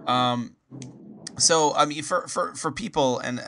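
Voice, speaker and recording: Bright-voiced Canadian man hosting a podcast. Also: a little high, a little slow, vocal fry, a little hiss or hum. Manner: rambling, many fillers and restarts. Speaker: podcast host. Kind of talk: casual interview.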